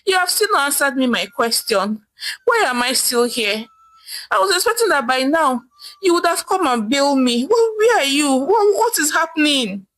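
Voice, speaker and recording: A man's voice: very high voice, expressive, a little slow, bright, forceful tone, voice pushed up, full character voice. A woman voicing a pompous jailed man with a Nigerian accent, whiny and pleading with his wife.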